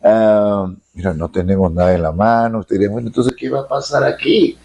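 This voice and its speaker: A chatty man from Peru hosts a relaxed interview. He jokes around and fills the pauses with quick uh-huhs while his guest answers. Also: lowish voice, creaky, lo-fi sound. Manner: chatty, playful, lots of backchannel uh-huhs. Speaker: interviewer, digital creator. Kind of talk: casual interview hosting.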